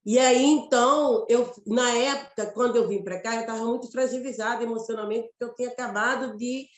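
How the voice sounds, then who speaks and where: A woman from Mato Grosso with a Mato Grosso Brazilian accent, an actress telling her story in an interview, reflective and halting, often restarting phrases. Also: fairly high pitch, fairly even intonation, roomy sound. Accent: Mato Grosso Brazilian Portuguese. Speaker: actress and guest.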